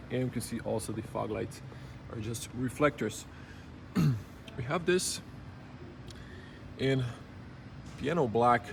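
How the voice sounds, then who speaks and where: A man with a slightly rough early-morning voice, giving a casual, unscripted walkaround review in halting, item-by-item phrases. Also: mid-range voice, a little mellow, a little hiss or hum. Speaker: car reviewer. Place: car show exhibition space.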